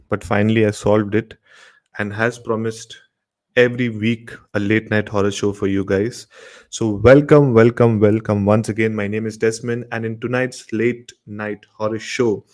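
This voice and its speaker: A man's voice: on the low side, level tone, normal speed, darkish tone. A man with an Indian accent informally hosting a horror show and telling stories, often repeating words and phrases. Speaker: host of a horror radio show.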